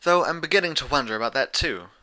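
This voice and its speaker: A bookish young mare, played by a man, with a raised, bright voice. The unicorn sounds fussy and a little awkward, hesitating as she explains in this cartoon storybook reading. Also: fairly high pitch, animated, fast, voice pushed up. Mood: dry, exasperated. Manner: hesitant, filler-laden explaining.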